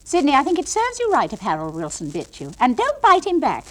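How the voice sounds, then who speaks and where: English nursery school teacher for comic monologue. Patient but firm, patronising sing-song coaxing, hugely animated pitch. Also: female voice, brisk. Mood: gently scolding. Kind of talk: comic monologue, one side of classroom talk.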